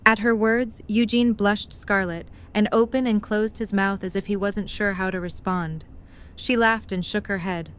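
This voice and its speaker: A woman narrator with a medium-pitched voice reads scripted prose. She tells the story between the lines of an audio drama. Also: steady tone, normal speed, clean tone.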